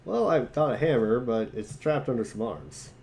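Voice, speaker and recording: A man's voice: medium pitch, expressive, normal speed, vocal fry, a little mellow. A man voicing a hapless, frustrated young teenage boy with a casual, sheepish manner in an amateur webcomic reading. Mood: sheepish, unsure.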